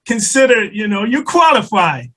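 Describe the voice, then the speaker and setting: High-pitched guy hosting a drum podcast, chill, lots of "you know"s. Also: big pitch swings, moderate pace, sharp tone. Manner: laid-back, laughs often, filler-heavy. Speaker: podcast host. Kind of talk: casual interview.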